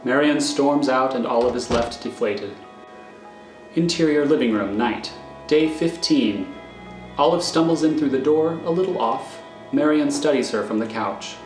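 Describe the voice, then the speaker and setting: A man's voice: medium pitch, measured pace. A man narrating screenplay directions in a matter-of-fact, descriptive way. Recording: echoey, prominent music underneath.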